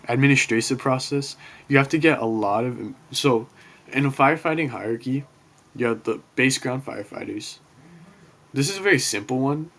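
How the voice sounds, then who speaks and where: A young man giving a casual class presentation from his bedroom, talking quietly and apologizing as he rambles a bit. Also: medium pitch. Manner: quiet, self-conscious, apologetic, loose and rambling. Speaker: student presenting a class project. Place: bedroom in an apartment next to a street. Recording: faint street noise.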